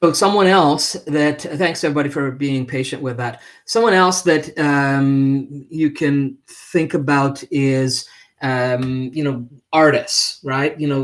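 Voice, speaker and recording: A man is presenting live online in an unscripted way. His voice sits at a medium pitch. He talks haltingly, repeating words as he builds a thought and checking in with short tag questions. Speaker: livestream host and presenter. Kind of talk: unscripted livestream talk.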